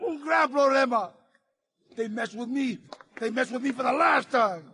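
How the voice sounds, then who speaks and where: An actor playing a hot-headed, macho young Cuban gang boss in a video game, with a strained raspy voice, a Cuban accent and blustering talk sprinkled with Spanish. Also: male voice, very high-pitched, normal speed, sharp tone, slight background noise. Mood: agitated. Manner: blustering, boastful, peppers English with Spanish. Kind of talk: video game cutscene acting.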